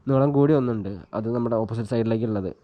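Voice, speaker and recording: A man's voice: mid-range voice, racing, dry recording, dull audio. A man chatting casually as he gives a hands-on tutorial, thinking aloud informally while he works. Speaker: vlogger giving a hands-on tutorial. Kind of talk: casual chat while demonstrating an installation. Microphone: action camera mounted on a helmet he wears.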